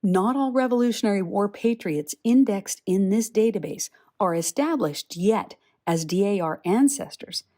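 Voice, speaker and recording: A woman hosting a podcast, reading scripted information in a clear, instructive way. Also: mid-range voice, lively intonation, normal speed, few pauses, warm tone. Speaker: podcast host.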